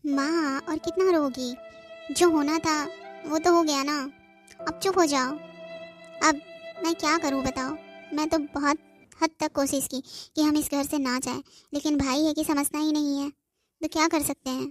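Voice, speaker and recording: Cartoon daughter, young woman actor, very high voice, narrow melody, earnest and emotional pleading. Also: steady tone, a little slow, faint music behind. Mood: consoling, resigned. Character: devoted young daughter, pleading, sentimental about home. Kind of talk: amateur cartoon voice acting.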